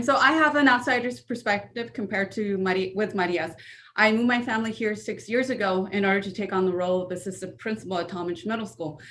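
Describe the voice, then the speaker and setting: A woman speaks in a brisk, determined way, giving a prepared presentation to a school board. Her voice sits at a middle pitch. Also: fairly bright tone, slight background noise. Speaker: assistant principal.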